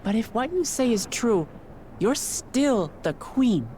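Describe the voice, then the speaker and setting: An actress playing a blunt, wary woman warrior in a video game, with forceful phrasing. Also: on the high side, very animated, takes it slowly, slight background noise. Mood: suspicious.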